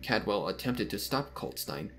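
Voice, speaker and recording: A man reading a story aloud as dramatic scripted narration, with soft music behind him. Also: lowish voice, very animated, moderate pace, a little hiss or hum. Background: soft background music.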